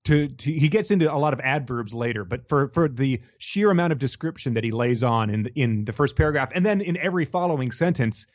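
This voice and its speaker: Male podcast co-host, mid-pitched, casual and wry, halting delivery with mid-thought rephrasing. Muffled, lo-fi sound. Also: animated. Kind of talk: casual chat, comic book discussion.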